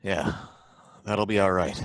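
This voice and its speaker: An actor playing a suspicious, bumbling license inspector who asks halting, probing questions in a live old-time radio drama. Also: male voice, sits low, fairly even intonation, gravelly, no echo.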